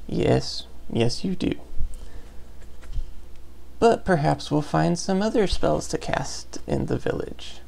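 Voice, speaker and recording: A man's voice: a little high, animated, slow, vocal fry, pauses often, a little mellow. A man giving casual gameplay commentary, explaining things in an informal, rambling way. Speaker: Let's Play commentator.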